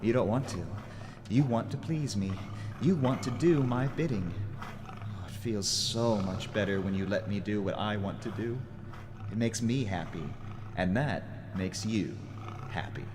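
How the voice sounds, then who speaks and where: A man playing a gloating, domineering dark lord in an audio adventure, with theatrical, commanding delivery. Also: fairly low pitch, unhurried, background noise, lightly acted. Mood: hypnotic, gloating. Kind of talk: audio adventure villain acting. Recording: long cavernous reverb, music underneath.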